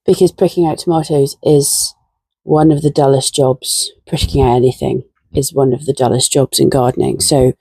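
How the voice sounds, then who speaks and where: A woman talks in a relaxed, chatty way while she works, her croaky voice gravelly with fry. Her tone is mellow and slightly husky, and the sound is dry and close. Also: rumbling low, moderate pace, no room sound, low-quality recording. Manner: relaxed, chatty, self-deprecating. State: croaky, tired voice. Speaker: gardening vlogger. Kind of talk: casual chat while gardening. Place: garden shed and greenhouse.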